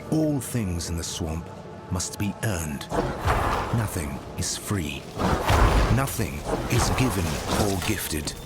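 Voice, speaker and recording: Deep, slow, stern village preacher. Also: male voice. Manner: solemn, measured. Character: stern, devout village preacher of harsh swamp laws. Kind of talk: solemn video game sermon. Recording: music behind the voice.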